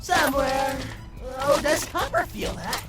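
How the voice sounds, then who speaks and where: A woman playing an excitable trash hunter creature in an audio drama, breathlessly enthusiastic and speaking of itself in the third person. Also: high voice, unhurried. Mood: eager, excitable. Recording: loud music and crowd behind voice.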